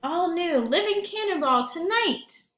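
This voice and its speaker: A woman teacher reading aloud and talking to young children in an expressive storytelling manner, with questions and asides. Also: very high-pitched, unhurried, roomy sound. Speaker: primary school teacher reading aloud to pupils.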